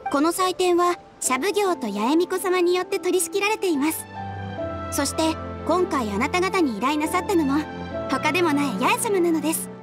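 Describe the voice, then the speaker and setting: Very high-voiced polite young receptionist. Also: female voice, normal speed. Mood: polite, helpful. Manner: formal, polite customer-service delivery. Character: courteous young female guild receptionist, composed and helpful. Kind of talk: Japanese anime game voice acting. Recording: music behind the voice.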